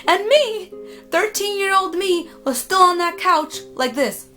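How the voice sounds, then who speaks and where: A woman telling a spooky personal story casually, in a dramatic, emphatic manner with pauses. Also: extremely high pitch, lively intonation, bright, forceful tone. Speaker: storytime vlogger. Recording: music playing behind the voice. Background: background music.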